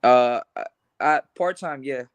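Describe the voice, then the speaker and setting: Young man, earnest, stumbles into his sentences, tough casual talk, mid-pitched voice. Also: measured pace. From Los Angeles and Honduras. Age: young adult. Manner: earnest, repeats words when starting, tough-talking. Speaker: boxer, interview guest. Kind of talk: casual interview chat.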